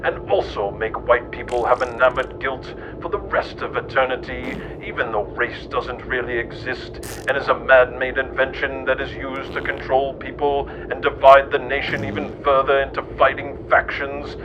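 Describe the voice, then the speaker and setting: A man's voice: medium pitch, steady tone, normal speed, hardly any pauses. A man reading scripted satirical narration in a mock-serious documentary manner. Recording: muffled recording with clear background noise.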